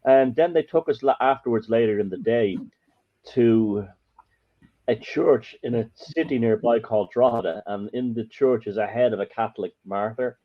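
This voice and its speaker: A man's voice: mid-range voice, moderate pace. An Irish man, a podcast guest, telling stories in a rambling, emphatic way. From Ireland. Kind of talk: casual storytelling chat. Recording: muffled lo-fi audio.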